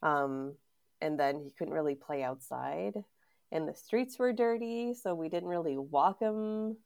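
A woman's voice: low-pitched, lively intonation, unhurried, vocal fry, darkish tone. A congested woman co-hosting a podcast, chatting casually and giggling. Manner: giggly, chatty with many fillers. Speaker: podcast co-host. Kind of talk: casual chat.

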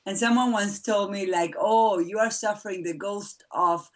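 A woman's voice: medium pitch, normal speed, recorded from a distance. A middle-aged woman from Argentina, a mother of four, chatting as an interview guest with frequent laughs and fillers. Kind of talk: casual interview chat.

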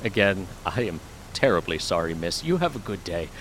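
An old, folksy countryman in an audio drama. His low voice moves up and down freely, polite and plain-spoken, over faint background noise. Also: sing-song, moderate pace. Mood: apologetic, friendly. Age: older. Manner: folksy, apologetic. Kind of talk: audio fiction acting.

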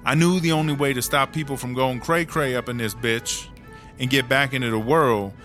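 A man's voice: mid-range voice, steady tone, moderate pace, natural voice. A man playing a streetwise, long-serving woman prisoner who tells stories in slangy, sweary talk for a comedy audio drama. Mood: animated, gossipy. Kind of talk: casual first-person storytelling in a comedy audio drama. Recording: music under the voice.

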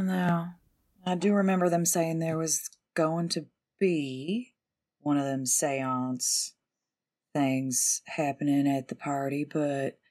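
A woman plays a female stunt driver in a period audio drama. Her voice sits low and is rough-edged and husky. She is deferential and folksy, choosing words carefully and staying guarded. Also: darkish tone. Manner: polite, hesitant, folksy. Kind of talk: 1920s-set audio drama roleplay.

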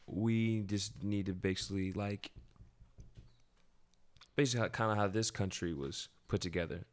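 A man as a podcast guest, thinking aloud in a loose, halting way with many fillers. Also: fairly low pitch. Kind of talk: casual podcast conversation.

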